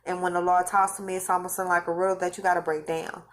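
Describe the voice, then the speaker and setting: A woman's voice: fairly low pitch, level tone, quick pace, fairly bright tone. A woman who is losing her voice, talking casually and rambling to her viewers, repeating and restarting phrases as she recounts visions. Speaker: vlogger recounting religious visions. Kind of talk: casual spoken monologue to viewers.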